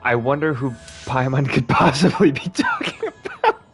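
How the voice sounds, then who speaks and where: A man's voice: on the high side, wildly swooping pitch, moderate pace. A man giving casual, jokey commentary while streaming a video game. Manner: jokey, swears, laughs at the game. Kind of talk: casual let's-play commentary. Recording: game music over the mic.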